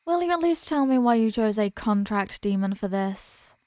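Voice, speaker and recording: A woman's voice: medium pitch, lively intonation, moderate pace, a little mellow, no echo, close to an everyday voice. A woman playing a flirtatious female archdemon in a roleplay audio drama, with a coy, smug and teasingly formal manner. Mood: curious, bargaining.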